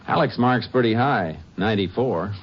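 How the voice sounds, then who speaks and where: An American man acting a steady, no-nonsense Texas Ranger investigator in a 1950s radio crime drama, terse, measured and authoritative. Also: fairly low pitch, a little hiss or hum, close to an everyday voice. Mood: businesslike. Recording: dull old radio recording with music.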